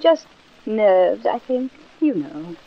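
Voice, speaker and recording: A muffled vintage radio recording of a genteel young lady of the manor. She is coy and hesitant, with very lively pitch movement in period drama style. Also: slow. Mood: shy, nervous. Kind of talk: 1940s radio drama acting. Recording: dull old radio recording.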